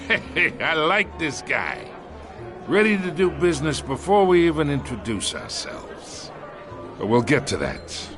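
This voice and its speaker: Swaggering crime boss, animated. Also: male voice, on the high side, measured pace, music in the background. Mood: smug. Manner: folksy, cocky, streetwise slang. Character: brash, swaggering middle-aged male crime boss. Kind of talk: video game voice acting.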